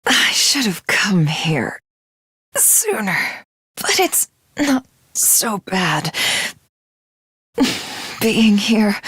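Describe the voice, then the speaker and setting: A woman playing a grandiose, battle-crazed villainess who thinks herself a god, in theatrical, imperious anime game voice acting with a breathy voice that slips toward whispers. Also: on the high side, a bit bright, no echo, clean studio sound, exaggerated voice. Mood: weak, wistful. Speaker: video game voice actress. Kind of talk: dramatic anime game character voice lines.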